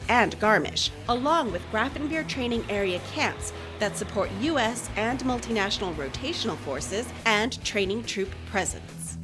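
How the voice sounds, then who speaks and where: A woman reading a scripted official promotional narration in a formal, measured announcer manner. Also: mid-range voice. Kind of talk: scripted corporate military video narration. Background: music bed.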